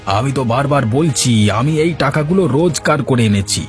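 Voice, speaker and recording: A man's voice: medium pitch, expressive, moderate pace, soft background music, close to an everyday voice. A man playing a boastful, quarrelsome village man in a cartoon, arguing in a blustering, defensive way. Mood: indignant. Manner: blustering, argumentative. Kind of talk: Bengali cartoon dialogue dub.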